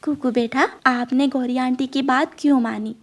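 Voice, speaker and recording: Mother bird played by a woman for a moral cartoon: high voice, wise, patient, gently instructive. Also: fairly even intonation, moderate pace. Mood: gently scolding.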